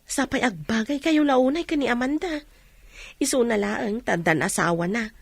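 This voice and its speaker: High, husky teasing young woman played by a woman. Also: moderate pace, no room sound. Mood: teasing, coy. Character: nosy, teasing young married woman friend. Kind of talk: radio drama acting in Ilocano.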